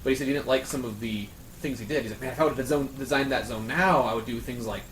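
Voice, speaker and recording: A man's voice: medium pitch, fast talker, fairly bright tone. A man in casual round-table chat, explaining in detail like an earnest fan. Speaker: panelist on a friends' discussion show. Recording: echoey room with background noise.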